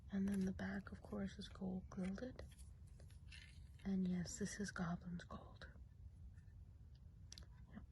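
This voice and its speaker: Softly whispering woman, mellow ASMR role play. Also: medium pitch, poor audio. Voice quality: soft breathy whisper. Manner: gentle, unhurried, reassuring. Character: kindly woodland Christmas witch. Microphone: close microphone. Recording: close whisper with audible background hiss.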